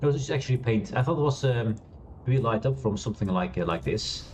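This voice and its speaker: Lively-voiced male streamer, chatty and loud. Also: mid-range voice, fast, dull audio. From Europe. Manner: chatty, rambling, laughs often, many fillers and restarts. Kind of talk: casual gameplay commentary. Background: quiet game music.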